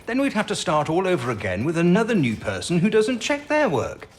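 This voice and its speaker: A brusque, swooping-voiced impatient boss. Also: male voice, high-pitched, wildly swooping pitch, fairly quick, slight background noise. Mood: exasperated, brusque. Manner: brusque, exasperated. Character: gruff, impatient male codebreaking chief. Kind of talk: audio drama acting.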